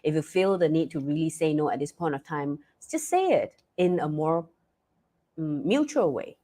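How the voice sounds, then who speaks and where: Host: young woman, low pitch, hoarse with a blocked nose, chatty live-stream delivery. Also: lively intonation, normal speed, mellow. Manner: chatty, many fillers and restarts. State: hoarse, losing her voice; stuffy nose. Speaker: live talk show host. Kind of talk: casual live-stream talk.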